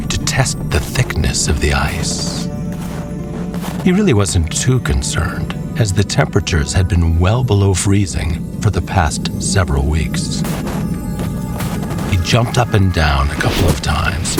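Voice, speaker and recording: Deep-voiced, slow male storyteller over music. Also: lively intonation. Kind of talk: scripted story narration.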